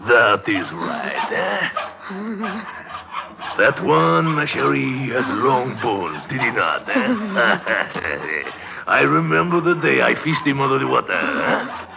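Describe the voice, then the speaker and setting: An actor playing a mad, gleeful old man with a French accent and a raspy, leering, wheedling voice, in old-time radio horror style. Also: mid-range voice, sing-song, heavy background noise, exaggerated voice. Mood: gloating, instructing. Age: older. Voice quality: raspy, croaking. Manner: leering, wheedling, singsong with slow drawn-out phrases. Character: mad, gleeful old Frenchman who carves human bones in sewers. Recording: muffled old radio drama recording.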